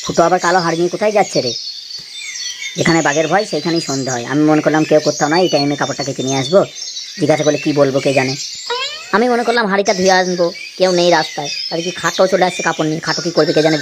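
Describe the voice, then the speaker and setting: A man's voice: fairly high pitch, racing, background noise, close to an everyday voice. A man voicing a henpecked village husband in a comedy cartoon, complaining and saving face in colloquial speech. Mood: grumbling, worried. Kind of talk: Bengali comedy cartoon dialogue.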